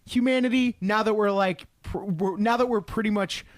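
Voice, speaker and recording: A man's voice: high voice, moderate pace, no echo. A man co-hosting a podcast, chatting casually and comedically in a cynical, sweary, rambling way. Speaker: podcast co-host.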